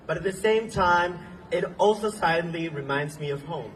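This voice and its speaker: A young man from Venezuela gives an earnest rally speech outdoors, sometimes halting with ums and restarts. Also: a little high, a little hiss or hum. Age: young adult. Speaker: speaker at a protest march. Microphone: phone recording from the crowd. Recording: distant phone recording outdoors.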